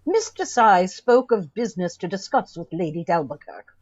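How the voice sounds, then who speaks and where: Coroner, acted by a woman, formal, persistent, lively intonation, dry dull sound, radio drama. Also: on the low side, moderate pace, no room sound. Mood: stern. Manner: formal, insistent. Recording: muffled, slightly dull audio.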